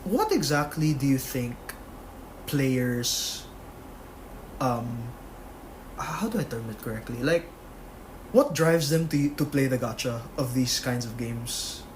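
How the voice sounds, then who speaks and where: A man's voice: medium pitch, animated, slow, long pauses, a little hiss or hum, poor audio. A man co-hosting a podcast in casual chat, rambling and full of fillers. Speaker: podcast co-host.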